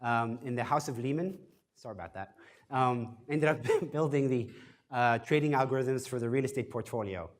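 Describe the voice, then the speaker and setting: Fast-talking man giving casual lecture. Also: medium pitch. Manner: fast-talking, self-deprecating, frequent fillers. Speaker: guest speaker at startup workshop. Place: event space, in front of audience.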